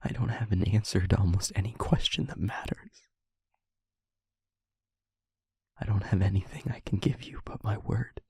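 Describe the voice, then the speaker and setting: A man playing a guilt-ridden demon lover in an intimate audio roleplay, speaking in a halting, breathy, confessional hush. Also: fairly low pitch, dry recording, clean studio sound, full character voice. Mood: anguished, helpless. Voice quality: breathy, husky, whispery.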